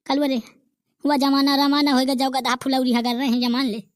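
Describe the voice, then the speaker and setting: A boastful old village grandfather, voiced high and bright by a woman, ranting in a thick Bundelkhandi accent. He brags and grumbles in rustic dialect. The recording is close and dry, with no room sound. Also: level tone, normal speed, dry recording, lightly acted. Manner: bragging, rustic dialect rants. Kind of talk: cartoon comedy voice acting.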